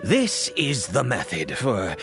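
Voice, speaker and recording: A man's voice: medium pitch, huge pitch swings, takes it slowly, music in the background, full character voice. Theatrical, brooding cursed immortal father. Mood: eager. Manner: theatrical, hesitant, swinging from murmurs to anguished outbursts. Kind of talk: video game voice acting.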